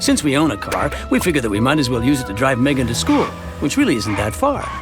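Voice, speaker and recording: Animated, wry suburban dad, acted by a man, over music. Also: mid-range voice, quick pace, close to an everyday voice. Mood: storytelling, wry. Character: chatty, wry suburban family man narrating his town's story. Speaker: narrator. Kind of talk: scripted storytelling narration for an animated short. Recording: music behind the voice.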